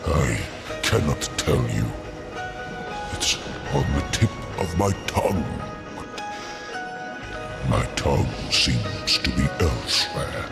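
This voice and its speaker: An actor playing an ancient, weary, gentle stone giant in a fantasy game, with a deep gravelly voice and ponderous, halting speech. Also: male voice, very animated, exaggerated voice. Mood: weary, dreamy. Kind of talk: fantasy video game acting. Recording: music behind the voice.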